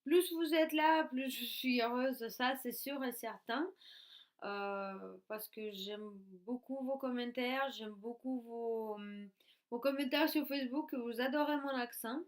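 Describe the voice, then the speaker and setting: A woman's voice: on the high side, a little slow. A woman in her thirties from Russia with a Russian accent, chatting casually to camera at home, hesitant with many fillers and restarts. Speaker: YouTuber. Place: at home in her craft room.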